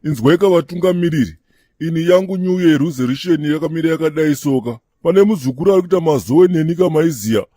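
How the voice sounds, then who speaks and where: A man voicing a cocky young Zimbabwean township troublemaker in a comedy cartoon, raspy, insolent and slangy. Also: fairly high pitch, quick pace, dark tone, no echo. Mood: boastful. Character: cocky, mouthy young township troublemaker with rude-boy swagger.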